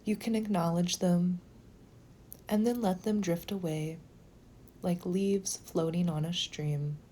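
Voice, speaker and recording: A woman leading a guided meditation in a slow, gentle, soothing manner, with phrases sometimes softening toward a whisper. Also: lowish voice, fairly even intonation, a little hiss or hum.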